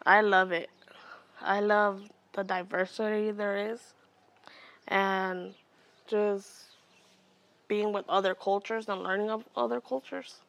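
Young woman from Oakland, soft-spoken interview guest, average pitch, recorded outdoors. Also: fairly even intonation, slow, vocal fry, long pauses, highs cut off. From Oakland, California. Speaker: guest, educator and mentor.

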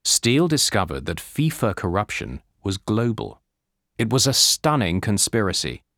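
Low-voiced man narrating a nonfiction audiobook. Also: fairly bright tone, no echo, clean studio sound.

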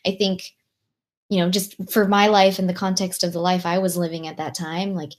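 Young woman, American accent, Filipino-language first tongue, lowish pitch, reflective podcast guest, conversational. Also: flat delivery, normal speed. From Long Beach, California, USA. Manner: reflective, peppered with "like" and "right?". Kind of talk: conversational interview answers.

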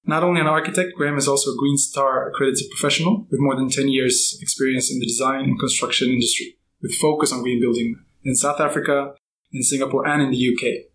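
A man hosting a podcast, reading a guest introduction steadily and then asking questions with soft backchannel replies. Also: mid-range voice, fairly even intonation, quick pace, slight background noise, rough recording. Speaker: podcast host. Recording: faint music behind.

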